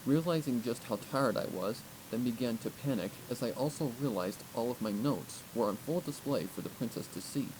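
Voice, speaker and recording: A man's voice: medium pitch, lively intonation, moderate pace, hardly any pauses. A man reading first-person fiction aloud as an audiobook narrator. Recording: clearly noisy recording.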